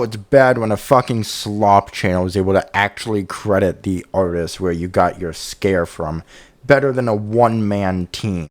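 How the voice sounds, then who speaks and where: A young man with a slightly low voice runs through his picks in an easygoing, unscripted way. He's blunt with his opinions and talks straight to his viewers as a commentator. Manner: candid, opinionated, loose with fillers. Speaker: YouTube commentator. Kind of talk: casual review commentary.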